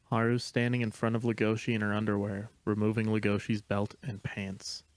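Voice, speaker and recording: A man's voice: fairly low pitch, monotone, a little fast, no room sound. A man narrating a screenplay, reading the stage directions in a matter-of-fact way. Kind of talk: scripted narration.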